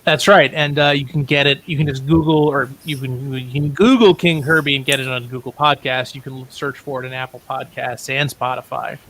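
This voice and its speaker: A man's voice: mid-range voice, steady tone, a little fast, hardly any pauses, a bit bright, poor audio. A man speaking as an interview guest, a comics writer casually plugging projects. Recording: faint background hiss.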